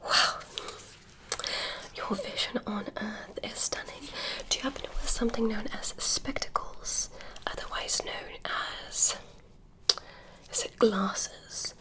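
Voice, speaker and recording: A woman voicing a gentle, curious female alien doctor, speaking in a soft, polite, inquisitive whisper close to the mic. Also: medium pitch, fairly even intonation, slow, long pauses, close to an everyday voice, poor audio. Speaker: ASMR creator. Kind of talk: whispered ASMR roleplay. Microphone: close-up ASMR mic.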